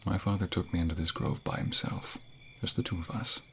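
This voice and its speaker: Casting: male actor as tender fantasy king for ASMR romance, lowish gravelly voice, flirtatious secretive murmur. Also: brisk, a little hiss or hum. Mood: intimate, solemn. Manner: tender, hushed, breathy murmuring, often whispering. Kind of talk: intimate ASMR romantic roleplay.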